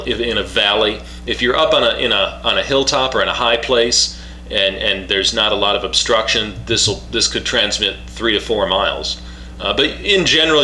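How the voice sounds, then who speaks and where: Guy showing off a gadget, really bright sharp voice, keeps restarting sentences, echoey room w faint hum. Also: on the low side. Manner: halting, repeats and restarts phrases. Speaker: product reviewer demonstrating a two-way radio. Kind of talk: casual unscripted product demonstration. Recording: roomy echo, faint background hum.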